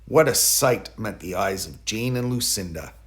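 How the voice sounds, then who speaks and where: Expressive man reading a bedtime storybook. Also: lowish voice, moderate pace. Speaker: storybook reader.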